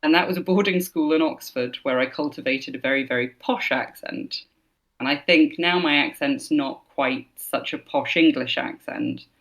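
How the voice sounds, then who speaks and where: A woman's voice: low-pitched, moderate pace, fairly bright tone. Thoughtful woman in an easygoing interview. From Scotland. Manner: thoughtful, rambling, many fillers. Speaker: voice actor, guest. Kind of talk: casual interview chat.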